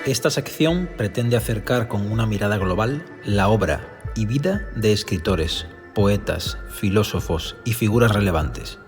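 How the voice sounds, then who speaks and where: A man's voice: fairly low pitch, animated, a little slow, long reverb tail, over music. A man reading scripted narration as an announcer.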